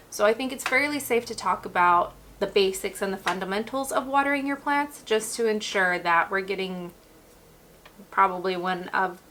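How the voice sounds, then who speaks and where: Chatty woman losing her voice. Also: medium pitch. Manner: chatty, relaxed, and explanatory, addressing viewers directly. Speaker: houseplant care channel host. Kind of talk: casual instructional talk.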